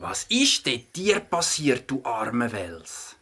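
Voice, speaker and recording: A woman playing an eager, helpful young boy with a slightly raspy voice and a Swiss German accent in a children's audio play. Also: very deep voice, big pitch swings, slow pace, a little hiss or hum, full character voice. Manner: bright, eager.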